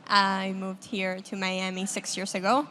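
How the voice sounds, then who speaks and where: A young woman from the Dominican Republic, a university senior, introducing herself on a panel in a friendly, lively way. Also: medium pitch, a little slow, slight background noise. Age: young adult. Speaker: panelist, student.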